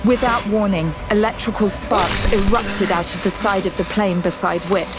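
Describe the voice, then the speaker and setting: Female narrator, medium pitch, dramatic scripted delivery, loud music bed, engine rumble. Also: poor audio.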